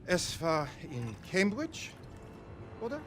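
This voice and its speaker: Nazi commandant, German accent, drawn-out formal speech, lively pitch swings, silky menace, cinematic game. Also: male voice, background noise, full character voice. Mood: coolly suspicious. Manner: formal, drawn-out, mock-courteous. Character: suave, menacing Nazi commandant testing a visitor.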